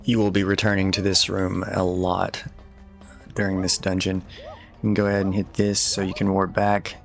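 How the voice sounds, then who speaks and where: A man giving casual, matter-of-fact video game walkthrough commentary in a low-key instructional way. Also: completely flat delivery, normal speed, creaky. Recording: voice over game music. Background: video game music.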